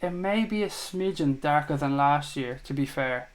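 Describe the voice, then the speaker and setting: Male host, fairly high voice, blunt and jokey, swears freely. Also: moderate pace. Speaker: host of a live fan chat show. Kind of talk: casual livestream chat reading comments.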